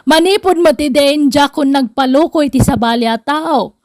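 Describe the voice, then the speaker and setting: Casting: actress as high-pitched, regretful female narrator for radio drama, telling her own lesson, dry studio recording. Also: normal speed, no echo, close to an everyday voice. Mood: rueful.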